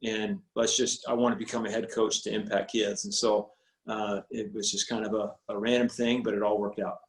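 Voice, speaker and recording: A man in his forties, a high school football coach, talking casually on a video call, rambling with many restarts and fillers. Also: little pitch movement, moderate pace. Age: 40s. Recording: roomy echo on video call audio.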